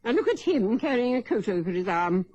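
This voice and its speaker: An actress playing an imperious, sharp-witted society lady and amateur sleuth in a radio play, haughty, brisk and precise in her condescension. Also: on the high side, sing-song, lo-fi sound. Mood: confidently deducing.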